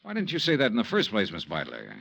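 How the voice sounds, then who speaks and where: An actor playing a brisk, persistent insurance investigator in a 1950s radio detective drama, clipped and matter-of-fact. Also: male voice, on the low side, huge pitch swings, creaky, fairly bright tone, breathy edge, lo-fi sound, full character voice. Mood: pushy. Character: brisk, hard-boiled male insurance investigator narrating his case.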